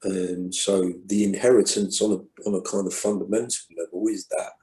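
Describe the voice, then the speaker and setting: Male guest, low warm voice, muses slowly with lots of restarts, echoey room. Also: steady tone. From England. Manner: thoughtful, many restarts and fillers. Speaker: guest poet. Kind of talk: reflective conversation.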